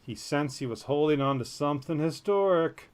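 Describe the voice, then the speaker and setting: A man's voice: fairly high pitch, expressive, normal speed, clean tone. A man co-hosting a podcast, reading a news story aloud and breaking off into jokey, self-deprecating tangents. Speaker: podcast co-host.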